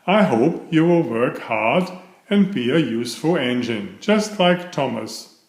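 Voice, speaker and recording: A man reading the part of a dignified, kindly gentleman in charge of a railway in a children's storybook. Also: mid-range voice, animated, unhurried, echoey room. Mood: welcoming.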